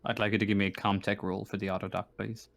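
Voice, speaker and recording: A man running a tabletop roleplaying game as game master, thinking aloud in a halting way as he improvises. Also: on the low side, level tone, gravelly, fairly bright tone, no echo. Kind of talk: narrating and refereeing a tabletop game.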